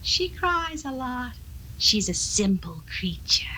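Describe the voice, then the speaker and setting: A woman's voice: fairly high pitch, big pitch swings, slow, bright, forceful tone, a little hiss or hum, heavily acted, low-quality recording. A woman acting a cold, strong-willed Victorian spinster of thirty-two in a 1970s radio drama, with a brooding, imperious manner. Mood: entranced, cold.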